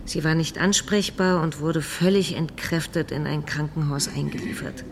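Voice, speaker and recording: Firm female doctor, very low pitch, clinical manner, radio play, faint ambience. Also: steady tone, normal speed, noisy background. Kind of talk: radio drama dialogue.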